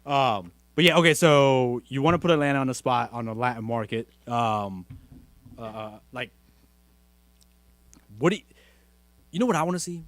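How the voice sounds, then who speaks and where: A man's voice: animated, moderate pace, long pauses, a bit bright, no room sound. A young man, hosting a podcast in casual, rambling, jokey chat. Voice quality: deep voice. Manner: rambling, jokey, many fillers. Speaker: podcast host.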